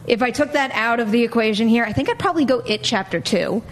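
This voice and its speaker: A woman's voice: a little high, animated, fast. Enthusiastic woman hosting over background noise. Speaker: host of a movie talk show. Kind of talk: casual panel discussion, reading viewer questions.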